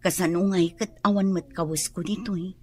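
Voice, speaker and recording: A woman's voice: lowish voice, steady tone, fairly quick, mellow, close to an everyday voice. A woman playing a hesitant mother torn over leaving her children, in a radio drama. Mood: hesitant, worried. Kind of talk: Ilocano radio drama acting.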